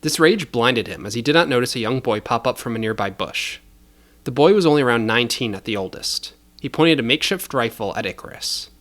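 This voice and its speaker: A man's voice: medium pitch, lively intonation, brisk, a bit bright. A man narrating scripted fiction as a storyteller, in a dramatic style.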